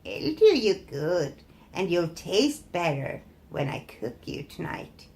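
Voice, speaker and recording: A gloating old storybook witch, performed by a woman, who draws out her words slowly. She coaxes with false sweetness and mocks with open menace. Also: on the low side, sing-song, a little mellow, full character voice. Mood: menacing. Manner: mocking, slow drawn-out coaxing.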